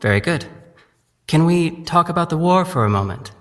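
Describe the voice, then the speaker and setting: Brief: male actor as an earnest, formal young doctor, even delivery, reverberant. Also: a little high. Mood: coaxing. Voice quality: measured, polite, formal. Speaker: resident doctor.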